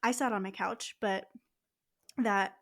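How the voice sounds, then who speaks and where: A woman's voice: mid-range voice, steady tone, slow pace, creaky, fairly bright tone. A woman in her twenties co-hosting a podcast, chatting casually and candidly. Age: 20s. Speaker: podcast co-host. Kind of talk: casual chat.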